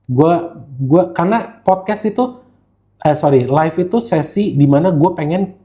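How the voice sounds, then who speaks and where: Mellow, higher-pitched Indonesian man chatting casually. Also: measured pace. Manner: code-switches into English phrases, slangy. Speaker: guest gemologist who hosts live streams. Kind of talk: casual podcast chat.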